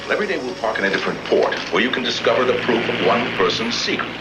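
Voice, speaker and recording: An actor playing a wry, controlling host of a sinister party game in an old film. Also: male voice, mid-range voice, very animated, rapid-fire, heavy background noise, lightly acted. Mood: brisk, sly. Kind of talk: film acting.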